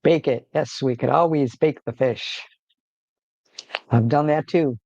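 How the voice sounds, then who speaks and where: A man with a gravelly, creaky voice hosting a relaxed livestream from home. He rambles loosely, with lots of fillers and restarts. The audio is dry and a bit dull and lo-fi. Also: medium pitch, a little slow, no room sound. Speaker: livestream host. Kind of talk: casual livestream chat.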